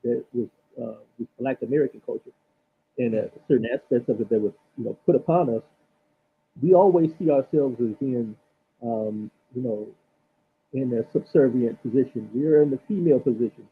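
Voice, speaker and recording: A man speaking as a guest in a casual discussion, earnest and halting, restarting his sentences. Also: mid-range voice. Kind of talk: casual chat. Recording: tinny phone-like line with faint hiss.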